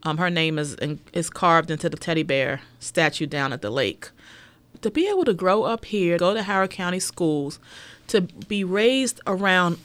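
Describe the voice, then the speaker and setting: A middle-aged woman co-hosting a local radio show, reminiscing in a soft, heartfelt way. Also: lowish voice, animated, normal speed, no echo. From United States. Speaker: radio co-host. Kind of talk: casual radio chat.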